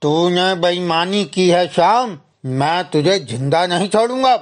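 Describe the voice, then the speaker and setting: Man acting an angry village gambler, higher-pitched voice. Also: a little slow. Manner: accusing, aggressive. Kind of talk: Hindi animated story acting.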